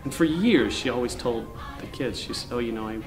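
A man in his forties, a stage actor, telling stories about his mother in a relaxed sit-down interview backstage. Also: mid-range voice, fairly quick, over music. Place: indoor theater backstage, costumes behind.

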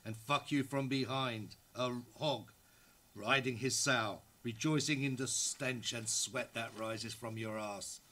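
An actor reading aloud as a lustful, teasing writer of love letters from the early 1900s. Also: male voice, medium pitch, steady tone, slow, bright, forceful tone.

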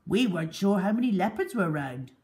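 A woman's voice: medium pitch, big pitch swings, quick pace, darkish tone, voice pushed up, lightly acted. A woman voicing a bearded wildlife rescuer in a storybook, firm but kind. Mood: earnest explaining. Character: gruff bearded man, stern then kindly wildlife rescuer.

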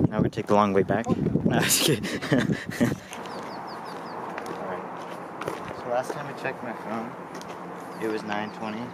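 A young man talking casually to the camera in a vlog, laughing often, on a hiking trail, in his car and at home. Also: on the low side. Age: young adult. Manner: laid-back, laughs often, talks to camera. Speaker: vlogger. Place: outdoor hiking trail, then car and kitchen. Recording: noisy outdoor camera audio.

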